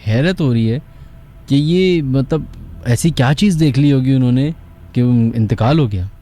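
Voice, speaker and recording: A man's voice: medium pitch, normal speed, mellow. A man with a Karachi Pakistani accent hosting a call-in horror radio show.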